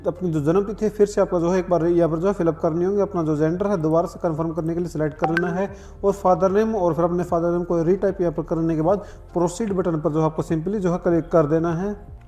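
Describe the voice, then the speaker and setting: A man's voice: sits high, steady tone, quick pace, warm tone, faint music behind. A man narrating a step-by-step tutorial in an instructional way, with repeated filler phrases. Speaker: online form tutorial presenter.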